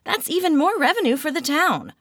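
A woman voicing a brash, quick-tempered female TV renovation star who talks bluntly and sarcastically. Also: sits high, expressive, a bit bright, dry recording, studio quality, voice pushed up. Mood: fiery.

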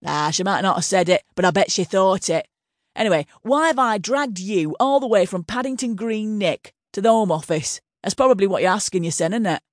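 A woman's voice: medium pitch, brisk, no room sound, studio quality, natural voice. A woman voicing a blunt, foul-mouthed Northern English female Home Secretary with a swaggering, crude manner. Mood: brash. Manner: blunt, crude, teasing then menacing.

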